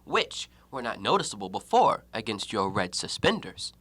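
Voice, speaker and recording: Actor as scornful, lively-voiced educated man. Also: fairly low pitch, no room sound, heavily acted. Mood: resolved, accusing. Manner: precise, deliberate, argumentative diction. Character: educated, coolly logical man, scornful and self-righteous. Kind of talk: audio play acting.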